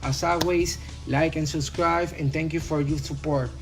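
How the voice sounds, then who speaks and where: A man's voice: on the high side, steady tone, moderate pace, gravelly, faint music behind. A man whose first language is Spanish, speaking English with a Spanish accent as he casually reviews a phone in a rambling voice-over full of "you know". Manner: rambling, filler-heavy, repeats "you know". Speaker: tech YouTuber.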